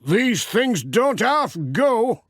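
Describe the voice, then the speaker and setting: A man's voice: very high voice, big pitch swings, drawn-out words, fairly bright tone, no echo, clean studio sound, voice pushed up, heavily acted. A man voicing a hearty, helpful farmer in a children's storybook, talking in a matter-of-fact way. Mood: hearty, busy.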